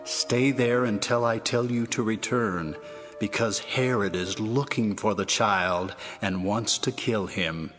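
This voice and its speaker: An actor playing a solemn angel messenger in an audio Bible drama. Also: male voice, lowish voice, takes it slowly, a bit bright, faint music behind. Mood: urgent, solemn.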